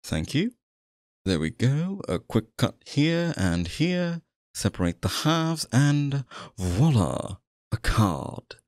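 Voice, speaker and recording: A man playing a sinister, possessive, courtly stage magician in an ASMR roleplay, coaxing the listener with theatrical, drawn-out phrasing. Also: mid-range voice, big pitch swings, darkish tone, no echo. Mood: suave, showy.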